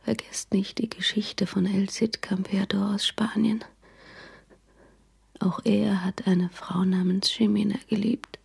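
An actress playing a fierce, loving dying mother in her thirties in a radio drama, whispering weakly and haltingly. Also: mid-range voice, little pitch movement, darkish tone, heavily acted. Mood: solemn. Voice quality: breathy, whispering. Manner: weak, halting, defiant.

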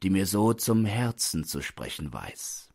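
A man voicing a kindly, eager coal dealer in an audiobook story, halting and questioning as he calls out. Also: low voice, big pitch swings, gravelly, darkish tone, voice pushed up, heavily acted. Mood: insistent, moved.

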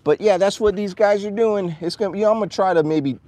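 A man's voice: high voice, fast talker, no room sound. A man, vlogging casually outdoors on a farm, holding his phone at arm's length. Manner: chatty, animated, coos at his dogs. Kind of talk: casual vlog chat.

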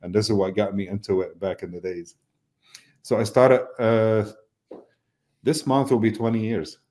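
A middle-aged man talks through a webcam from his office, his voice low and warm in tone. He answers questions at an unhurried pace, stopping often on fillers and restarting his sentences. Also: flat delivery. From Lebanon. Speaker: mortgage business owner, guest. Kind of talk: casual interview over video call. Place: indoor office. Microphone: video call webcam mic.

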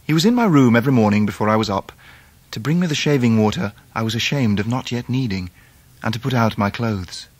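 An actor playing a grown English gentleman who narrates his own youth in a radio drama. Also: medium pitch, brisk, dry recording, natural voice. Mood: reflective.

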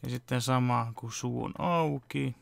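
A man's voice: medium pitch, gravelly. A young man casually explaining his fan dubbing work step by step at his home desk, talking close to the camera. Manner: relaxed, explaining step by step with fillers. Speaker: vlogger and fan dubber. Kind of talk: casual behind-the-scenes chat. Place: home room at a computer desk.

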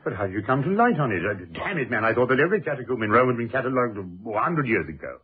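A persistent gentleman in an old radio play, curious, hearty and impatient, pressing his point in repeated phrases. The voice is rough and husky with sweeping pitch. It sounds boxed-in and lo-fi, like an old broadcast. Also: very animated. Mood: impressed. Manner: brisk, pressing, repeats words, hearty old-fashioned speech. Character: eager, persistent gentleman colleague, inquisitive and impatient. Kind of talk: BBC radio drama acting. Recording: muffled lo-fi old radio recording.

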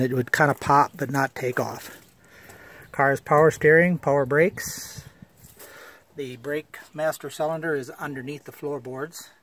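A man filming his old car on a handheld phone, describing it in a plain, matter-of-fact way with many fillers, outdoors and inside the car. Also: on the high side. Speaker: car owner showing an old car. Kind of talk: casual walkaround video. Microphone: handheld phone camera.

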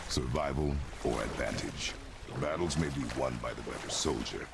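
An actor playing a stern, battle-hardened middle-aged warrior father in a fantasy game, terse, grave and commanding, with a deep, gravelly voice. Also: moderate pace, heavy background noise. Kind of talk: cinematic fantasy game acting. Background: loud music.

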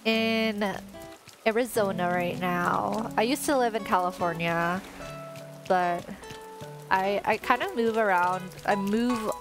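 Chatty young woman streamer, game music behind. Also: medium pitch. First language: Tagalog. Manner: chatty, rambling, many "like" fillers. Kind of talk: casual chat while playing a life-sim game. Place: bedroom at home, indoors.

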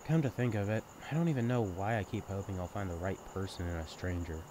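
A man's voice: deep voice, fast, background noise, close to an everyday voice. A young man acting in an intimate audio roleplay as a sibling's best friend, talking candidly and casually in a caring, teasing way. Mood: sincere, thoughtful. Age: young adult. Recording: night ambience with chirping crickets. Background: crickets and insects, outdoor ambience.

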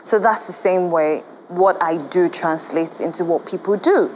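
A young Nigerian woman, a guest on a studio talk show, explains her views in a measured, cautious way on a lapel mic. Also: on the low side, darkish tone, a little hiss or hum, rough recording.

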